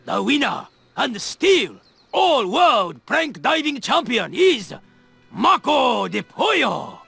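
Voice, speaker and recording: An actor playing a formal diving contest official in a video game, announcing ceremoniously. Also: male voice, very high-pitched, very animated, slow pace, creaky, bright, forceful tone, soft background music, exaggerated voice.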